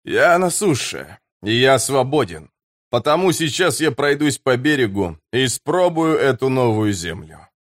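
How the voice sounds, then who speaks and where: A man's voice: mid-range voice, very animated, measured pace. A man voicing a proud, blunt barbarian warrior with solemn, weighty declarations in an audiobook.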